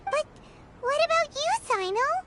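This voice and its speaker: A woman voicing a tiny, childlike, excitable fairy companion in a video game, with a squeaky voice and exclaiming manner. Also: unhurried, background noise. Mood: concerned. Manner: refers to self in third person, exclaiming.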